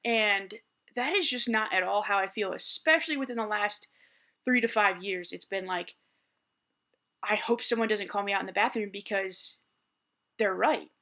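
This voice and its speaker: A man in his thirties with a higher voice, talking candidly in a reflective personal vlog and often restarting his sentences as he thinks aloud. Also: normal speed, long pauses, pushed and bright. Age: 30s. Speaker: vlogger.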